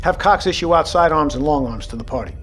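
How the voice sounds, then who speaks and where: A seasoned submarine captain in an audio drama, over clear background music. His voice sits a little high. He is calm, commanding and quietly resolute. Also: fast. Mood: measured, resolute. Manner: commanding, steady, reassuring.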